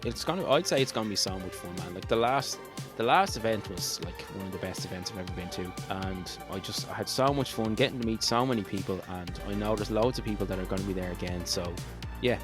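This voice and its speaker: A man's voice: lowish voice, fast, music in the background. A man co-hosting a podcast in casual, chatty, agreeable conversation. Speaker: podcast co-host.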